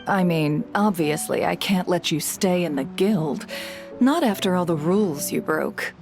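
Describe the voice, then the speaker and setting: A woman's voice: on the low side, measured pace, creaky, music in the background. A woman playing a composed, shrewd guild leader in a video game, with a cool, casually assured delivery. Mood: wry, matter-of-fact. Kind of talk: dramatic video game voice acting.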